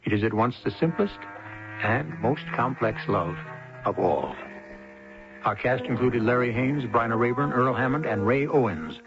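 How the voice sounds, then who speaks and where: A man hosting a radio drama, giving theatrical scripted narration in a solemn, deliberate, rhetorical manner. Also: mid-range voice. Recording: muffled old radio, music behind.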